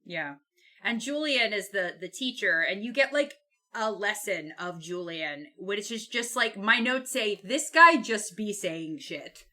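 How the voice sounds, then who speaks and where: A woman co-hosts a relaxed book chat in a bright, forceful voice of medium pitch. Her intonation moves around a lot, and her phrasing is informal, sweary and loosely strung together. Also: unhurried. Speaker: podcast co-host. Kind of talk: casual book discussion.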